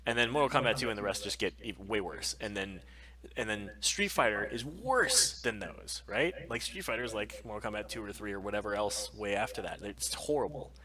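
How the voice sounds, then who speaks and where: Low-voiced man bantering over crowd noise. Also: fairly quick, low-quality recording. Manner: emphatic, rambling delivery. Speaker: co-host of a weekly pop culture stream. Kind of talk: casual chat, opinionated banter.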